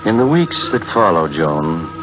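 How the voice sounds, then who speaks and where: An actor playing an ominous storyteller, narrating old radio suspense in a deliberate, dramatic way as he addresses the heroine. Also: low voice, very animated. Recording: dull old radio recording. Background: loud music.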